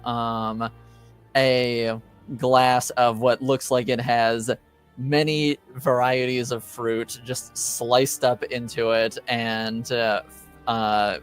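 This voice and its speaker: A man with a mid-pitched voice runs a tabletop game as its narrator. He speaks very slowly and haltingly, searching for words, over faint background music. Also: clear, resonant tone. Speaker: game master.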